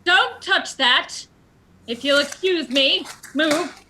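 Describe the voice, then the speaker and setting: A woman's voice: high-pitched, slow, background noise. A woman acting as a self-assured, theatrical lady detective in a comic radio play, declaiming pompously. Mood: commanding, triumphant. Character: theatrical female amateur detective explaining a murder, self-assured. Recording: music behind the voice.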